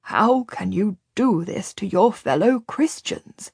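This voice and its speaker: A woman's voice: medium pitch, lively intonation, gravelly, slightly rough, no echo, studio quality, heavily acted. Woman voicing an earnest, trembling friar. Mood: indignant. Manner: slow, insistent, indignant.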